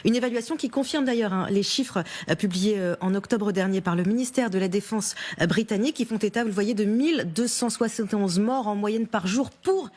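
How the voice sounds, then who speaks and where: A woman's voice: mid-range voice, brisk, hardly any pauses, darkish tone. Woman journalist presenting a prepared news explainer. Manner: punctuates sentences with a small "hein".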